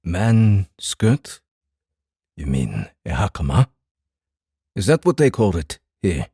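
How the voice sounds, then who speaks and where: Low, husky, formal samurai. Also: male voice, sing-song, mellow, no room sound, clean studio sound, heavily acted. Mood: puzzled, commanding. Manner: measured, formal, deliberate. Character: formal, guarded samurai man, calm and commanding. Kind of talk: dramatized fantasy audiobook acting.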